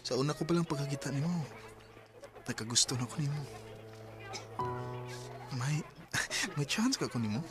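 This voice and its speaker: A man playing a hesitant young man courting a woman in a radio drama, gentle and laughing nervously. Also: a little high, moderate pace, long pauses, music in the background, lightly acted.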